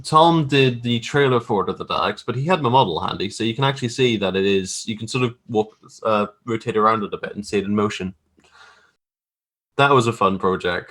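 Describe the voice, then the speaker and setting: Male interview guest, lowish voice, casual and loose, feeling his way through explanations of his creative work. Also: fairly bright tone. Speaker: guest graphic artist. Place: remote video call.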